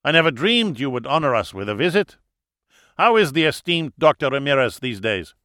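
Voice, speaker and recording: Guy doing a big jolly older german gent, over-polite, loud n high-ish, german accent, audiobook. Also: sing-song, a little fast, no echo, clean studio sound. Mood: smooth, hospitable. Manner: hearty, effusive, falsely courteous. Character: big, jovial, unctuous older German expatriate man, theatrically gallant.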